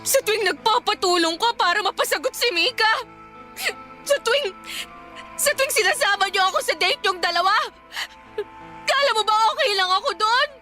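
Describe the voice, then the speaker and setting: A woman's voice: extremely high pitch, lively intonation, normal speed, bright, forceful tone, full character voice. A woman playing a drunk, lovesick and hot-tempered young woman in a Tagalog radio drama, whiny and defiant. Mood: anguished confession. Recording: music bed behind the dialogue.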